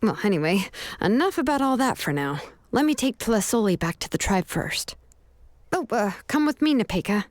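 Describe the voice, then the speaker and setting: A woman playing a laid-back, caring young craftswoman in a video game, speaking with gentle, measured reassurance. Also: mid-range voice, sing-song, no room sound, close to an everyday voice. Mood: relaxed, practical.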